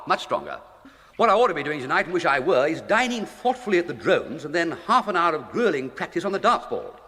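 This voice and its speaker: An actor playing a flippant, idle young English gentleman with a breezy, plummy, upper-class accent and exclamatory period slang, in a British radio comedy. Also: fairly high pitch. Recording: long echoing room reverb.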